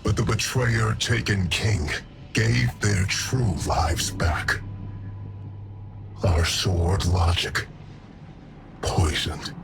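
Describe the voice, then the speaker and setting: Deep, slow, gravelly alien warrior god. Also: male voice, exaggerated voice. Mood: bitter, mournful. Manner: slow, solemn, archaic declamation. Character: grim, proud alien god-warrior mourning fallen sisters. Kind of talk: video game voice acting, scripted monologue.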